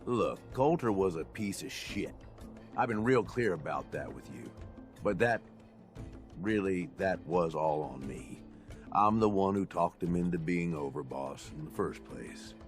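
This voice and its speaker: A gruff raider henchman in middle age for a video game. He speaks very low and plainly, confiding in frank, colloquial phrases, with music clearly behind him. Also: expressive, measured pace. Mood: frank, uneasy. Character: gruff, frank middle-aged raider right-hand man.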